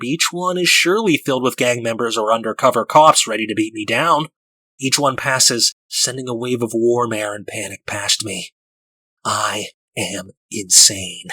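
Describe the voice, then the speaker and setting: A man's voice: mid-range voice, sharp tone, breathy edge, dry recording. A man playing a panicky, self-loathing alcoholic drifter in an audiobook, narrating in an agitated, desperate, profane way. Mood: bleak despair. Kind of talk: dramatic first-person audiobook narration.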